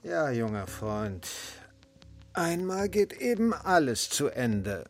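A man's voice: a little high, big pitch swings, faint music behind, heavily acted. An actor playing a cold male secret policeman in a German radio play, drawing out his words with commanding mockery. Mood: sly mockery. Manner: drawn-out, commanding, mocking.

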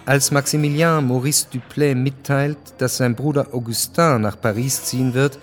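A man narrating a literary radio drama from a script in a measured, precise way. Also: medium pitch, steady tone, soft background music.

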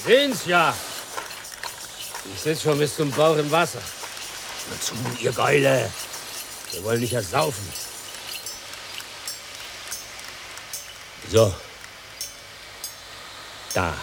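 A man's voice: medium pitch, lively intonation, takes it slowly, vocal fry, long pauses, background noise. An actor playing an obliging, hardy coachman in a radio play, plain-spoken and familiar with his guest. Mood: hearty, brisk. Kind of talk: German radio play acting.

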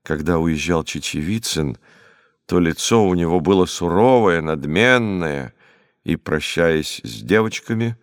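A man reads a story as an audiobook narrator. His voice sits fairly low, and his phrasing is expressive and dramatic. The recording is very dry. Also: measured pace, no echo.

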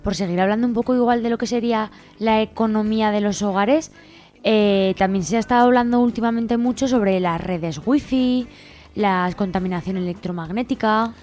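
A woman's voice: medium pitch, normal speed, a little mellow, faint music behind. A woman with a Spain Spanish accent putting conversational questions as a radio interviewer.

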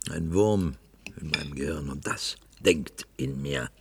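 A weary, brooding cellar worker in a science-fiction radio play. His voice sits very low and crackles with gravelly fry, husky at the edges. He muses in a resigned way, like someone long confined to the same routine. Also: unhurried, no echo, heavily acted. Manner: musing, resigned. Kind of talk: German science-fiction radio drama acting.

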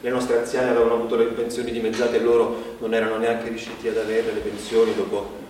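A man's voice: medium pitch, completely flat delivery, darkish tone, a little hiss or hum, natural voice. Echoey, distant man acting a reflective stage narrator. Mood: grave. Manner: halting, reflective, with broken phrases and pauses. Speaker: stage actor. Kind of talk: theatrical monologue, conversational storytelling. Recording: echoey hall, distant mic, music behind.

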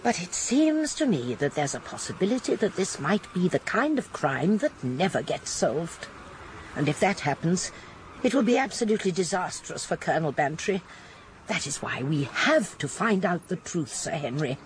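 A genteel old English lady in a radio play, shrewd and gently gossipy. She talks with lively intonation and precise diction, over faint outdoor ambience. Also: fairly low pitch, moderate pace, slight background noise, low-quality recording. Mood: thoughtful. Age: older. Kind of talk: British radio drama acting.

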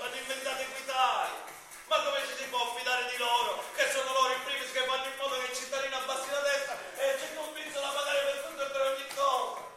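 A man's voice: very high-pitched, bright, forceful tone. An actor performing an indignant, colloquial theatrical monologue as a man ranting bitterly against injustice. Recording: very echoey, distant stage recording with music.

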